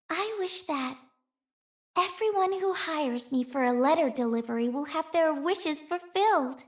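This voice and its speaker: A woman voicing a cheerful, romantic young female courier in a video game, with a playful, airy and sweetly expressive manner. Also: high-pitched. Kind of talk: game character voice lines.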